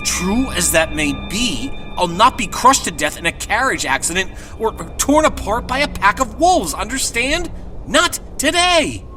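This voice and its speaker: Frantic, high-voiced male horror-drama passenger. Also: wildly swooping pitch, a little slow, over music, heavily acted. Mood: angry, alarmed. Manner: agitated, pleading and demanding. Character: terrified, indignant male carriage passenger in horror tale. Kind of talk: audio drama acting. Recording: loud background noise and effects.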